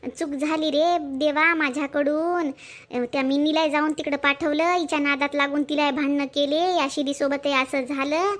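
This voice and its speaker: A woman's voice: high-pitched, steady tone, lightly acted. Woman playing a nagging rural mother. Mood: grumbling. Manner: scolding, sarcastic, rapid village talk. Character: nagging rural mother, scolding her grown daughter.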